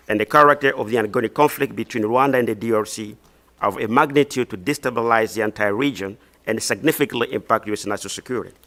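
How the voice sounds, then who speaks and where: A man from Congo in his thirties, reading formal prepared testimony as a witness at a hearing, measured with occasional restarts. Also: medium pitch, a bit bright. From Democratic Republic of the Congo. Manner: measured, reads prepared text with some restarts.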